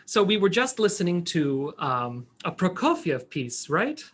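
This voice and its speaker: High-voiced, enthusiastic male host. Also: very animated, unhurried. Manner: enthusiastic, effusive. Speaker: interview host. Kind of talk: casual, chatty hosting. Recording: faint background noise.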